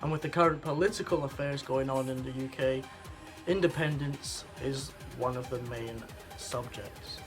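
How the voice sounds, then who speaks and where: A young man from the Scottish Highlands, his Scottish accent a little softened by years in England, chatting wryly and bluntly to camera in a casual vlog. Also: fairly even intonation, normal speed, soft background music. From Scotland. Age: young adult. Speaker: vlogger.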